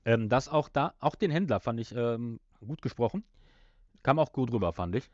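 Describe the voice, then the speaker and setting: A man's voice: mid-range voice, animated, moderate pace, dry recording. Man podcasting, dull dry sound. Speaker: podcast host. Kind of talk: casual discussion of an audio drama episode.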